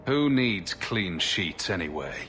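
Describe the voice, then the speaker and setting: An actor playing a cocky, playful adventurer hero in a video game, bantering with a romantic streak. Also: male voice, deep voice, very animated, slow pace, sharp tone, slight background noise, heavily acted.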